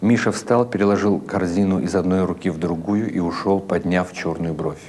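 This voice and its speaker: A man narrating literary short stories as a dramatic audiobook, in a measured, deliberate way with expressive phrasing. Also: low-pitched, a little hiss or hum. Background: music behind the reading.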